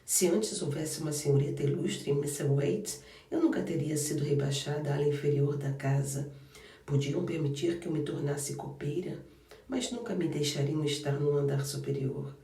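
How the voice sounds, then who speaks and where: A warm-hearted, sturdy young housemaid who chatters freely and stands her ground, played by a man reading aloud. Her voice is pitched up and sits mellow in tone. The room is roomy, and the mic is a little distant. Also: close to an everyday voice. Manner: chatty, good-humored and firm.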